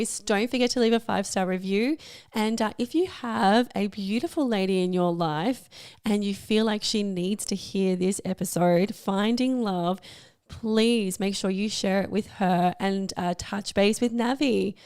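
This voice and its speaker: A woman hosting a chatty podcast interview, effusive and encouraging, with a slightly croaky voice. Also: medium pitch, normal speed, darkish tone, dry recording, studio quality. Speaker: podcast host.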